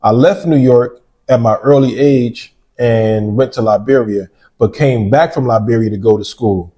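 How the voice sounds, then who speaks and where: Mellow-voiced man telling his story. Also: mid-range voice, normal speed. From United States. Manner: relaxed storytelling with frequent "right?" asides. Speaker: interview guest, real estate developer. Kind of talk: casual interview.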